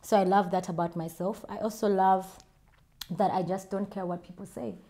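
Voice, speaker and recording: A woman's voice: mid-range voice, fairly even intonation, dark tone. Chatty Ugandan woman vlogger. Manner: chatty, self-assured, quick laughs. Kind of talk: casual chat answering viewer questions.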